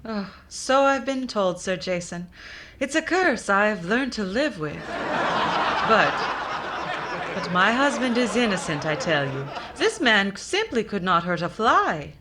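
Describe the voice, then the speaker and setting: A woman acts a glamorous, devoted German wife in an old-time radio comedy. She has a medium-pitched voice, deadpan and melodramatic, with heavy noise in the recording. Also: takes it slowly. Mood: protesting.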